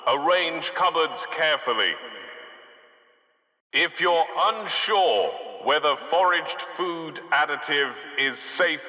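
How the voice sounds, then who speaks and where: A man voicing a formal, dry city loudspeaker announcer in a game, reading public announcements in a bureaucratic, deadpan manner. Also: on the high side, slow pace, echoey room, long reverb tail, highs cut off, exaggerated voice. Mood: official.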